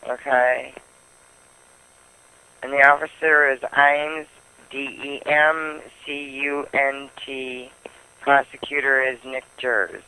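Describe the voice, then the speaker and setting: A man on a phone call, answering in a plain, deliberate, unruffled manner. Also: mid-range voice, flat delivery, sharp tone, clear, resonant tone. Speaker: call taker, prank call target. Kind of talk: phone conversation.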